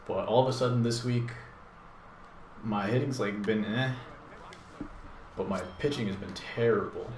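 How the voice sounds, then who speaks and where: A man with a deep voice talks over his own gameplay as a channel host, thinking aloud in a relaxed, rambling way. His voice sits low, and the room around him is echoey. Steady background noise runs under everything he says. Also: a little slow, pauses often. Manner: thinks aloud, laid-back, rambling with fillers. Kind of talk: casual live gameplay commentary.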